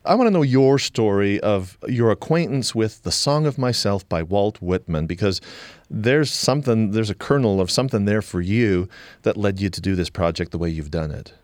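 A man hosting a radio show, reading a scripted introduction in an animated, questioning way before interviewing his guest. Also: lowish voice, normal speed, no room sound, clean studio sound.

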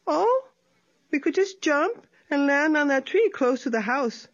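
Woman putting on a sly male opossum, high squeaky pitch, sweet-talking, chirpy, storybook trickster, dull lo-fi sound. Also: big pitch swings, measured pace, heavily acted. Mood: sly cheerful. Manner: sweet-talking, chirpy, switches Spanish and English. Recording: dull lo-fi recording.

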